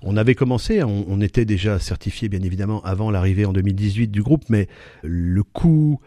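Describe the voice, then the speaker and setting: A man with a low, mellow voice answers interview questions about his company. He speaks haltingly, full of fillers and restarts. The sound is dry and close. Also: dry recording. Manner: hesitant, many fillers and restarts. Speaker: company executive, guest.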